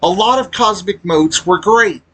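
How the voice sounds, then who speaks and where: A man giving casual, unscripted opinion commentary as a gaming video creator, halting as he talks and blunt in his complaints. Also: sits high, a bit bright.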